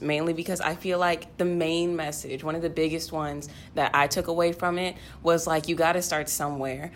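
A woman's voice: sits low, a little hiss or hum. A teenage girl making her points earnestly and insistently in a casual group discussion. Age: teens. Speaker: young cast member of a play.

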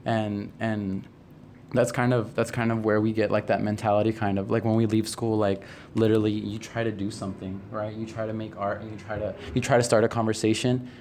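A man's voice: fairly low pitch, little pitch movement, a little fast, a little mellow. Teenage male, level intonation, conversational explainer with frequent check-ins, background noise. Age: teens. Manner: checks in often with "right?" and "you know?". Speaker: high school theater student, podcast guest. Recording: clear background noise, unpolished room recording.

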